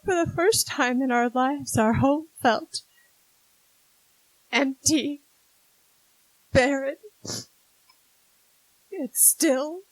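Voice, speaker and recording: A woman's voice: high voice, drawn-out words, pauses often, dry recording, poor audio. An actress playing a strong-willed, devoted sister who narrates her memories in a 1940s-style radio drama, with an emotional, faltering delivery. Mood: grieving, subdued.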